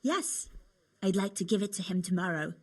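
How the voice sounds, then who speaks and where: A woman's voice: medium pitch, moderate pace, darkish tone, breathy edge, lightly acted. A young woman playing a cheerful, teasing medieval tavern girl in a video game, chatty and coaxing. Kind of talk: video game cutscene acting.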